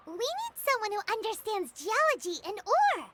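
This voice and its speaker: A woman voicing a tiny, excitable female fairy companion in a video game, brash and cheeky, talking about herself in the third person. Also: very high voice, moderate pace, background noise, exaggerated voice. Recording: game audio under loud music.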